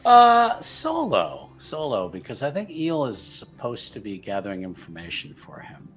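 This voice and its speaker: A man's voice: medium pitch, wildly swooping pitch, normal speed, fairly bright tone. A man playing a tabletop roleplaying game, loosely improvising what his character does, repeating words and trailing off. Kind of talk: casual improvised narration of own character. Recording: music under voice. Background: background music.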